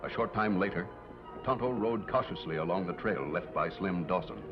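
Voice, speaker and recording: Narrator, low and dramatic, solemn 1950s TV western delivery, dull soundtrack with music behind. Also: male voice, animated, normal speed.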